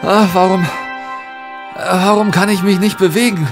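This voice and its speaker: A man's voice: high-pitched, fairly even intonation, unhurried, over loud music. An actor playing an anxious, hesitant businessman in a horror audio drama. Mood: alarmed, pleading. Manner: hesitant, stammering, urgent.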